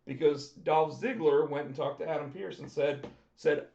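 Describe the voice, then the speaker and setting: A man co-hosting a casual wrestling podcast, talking excitedly and repeating himself, with his voice going hoarse. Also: a little high, normal speed. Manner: excited, talkative, repeats words when starting. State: losing his voice. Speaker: podcast co-host. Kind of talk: casual chat about pro wrestling. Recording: roomy, dull lo-fi sound.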